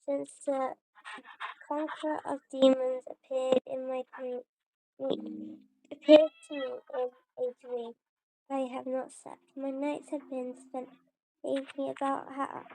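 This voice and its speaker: Woman, high pitch, slow pace, halting game read-aloud, frequent self-corrections. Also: level tone, creaky, a little mellow, dry recording, poor audio. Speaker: streamer playing and voicing a video game. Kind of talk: reading game dialogue aloud.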